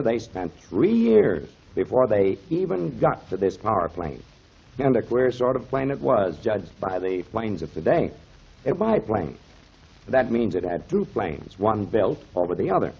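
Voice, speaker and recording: An actor playing a kindly, avuncular mentor explaining things to children in emphatic 1930s radio style, on a muffled old recording. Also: male voice, fairly low pitch, sing-song, moderate pace, creaky, husky, heavily acted. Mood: earnest storytelling. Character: kindly grown man, storytelling mentor to children, pipe smoker.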